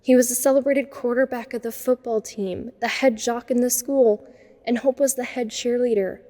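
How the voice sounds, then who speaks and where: A woman's voice: a little high, fairly even intonation, moderate pace, warm tone, long reverb tail, natural voice. A young woman playing an introspective, self-conscious teenage girl who narrates her own story in an audio drama. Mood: wry, wistful. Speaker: narrator and lead character. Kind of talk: first-person dramatic narration.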